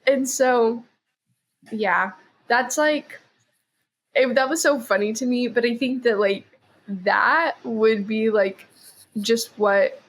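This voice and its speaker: A woman's voice: a little high, slow, pauses often, slight background noise. A woman chatting casually as a podcast guest, rambling with lots of "like" and "um". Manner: rambling, heavy use of "like" and "um". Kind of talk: casual chat.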